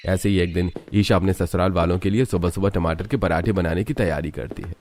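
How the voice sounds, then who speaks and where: A man's voice: deep voice, steady tone, a little fast, darkish tone, a little hiss or hum. A man reading scripted narration for a family cartoon story.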